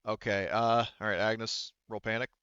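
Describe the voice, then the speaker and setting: A man's voice: lowish voice, little pitch movement, normal speed, bright, forceful tone, clean tone, no room sound, dull audio. A man casually running a tabletop roleplaying game as game master, explaining rules informally and thinking aloud.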